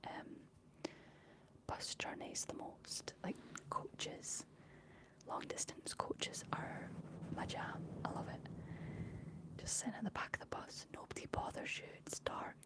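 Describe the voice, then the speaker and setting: A woman's voice: measured pace, low-quality recording. A woman with a Scottish accent whispering as an ASMR live streamer, reading and answering chat messages. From Scotland. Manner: speaks entirely in a whisper.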